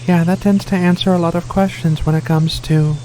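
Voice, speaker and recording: A performer voicing a gentle, curious alien cat-woman in a soft-spoken ASMR roleplay, hesitant and thoughtful. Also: medium pitch, fairly even intonation, a little hiss or hum, close to an everyday voice. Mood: curious, warm. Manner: hesitant, thoughtful, slow pauses between phrases.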